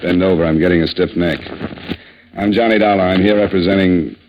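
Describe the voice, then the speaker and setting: A man's voice: deep voice, fairly even intonation, fairly quick, dull audio, slight background noise, natural voice. An actor playing a wry, hard-boiled male insurance investigator with dry, sardonic delivery in a 1950s radio drama. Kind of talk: 1950s radio drama, first-person noir narration. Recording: band-limited old radio recording with music.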